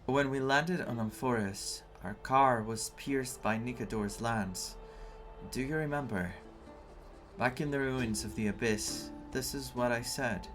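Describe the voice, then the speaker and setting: A man voices a loyal young male companion in a video game, serious and reserved. His mid-pitched delivery is measured and earnest, held back in feeling, with music underneath. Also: natural voice. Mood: somber, confessional.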